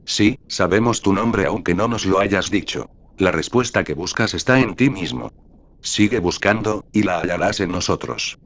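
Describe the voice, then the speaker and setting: A man voicing a group of humanoid aliens from another planet, speaking in a slow, measured, otherworldly way. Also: sits low, fairly bright tone. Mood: calm, knowing.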